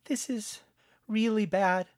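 A man narrating a story, voicing an earnest, worried young boy in a high, urgent voice. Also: fairly even intonation, slow pace, dry recording, clean studio sound. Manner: urgent, pleading boyish voice.

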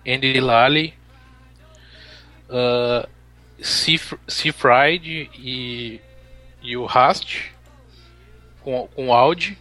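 Slow, halting Brazilian man listing drivers. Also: medium pitch, fairly bright tone, no room sound. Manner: slow, halting, stumbles over names. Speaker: race show co-host. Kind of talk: casual podcast chat.

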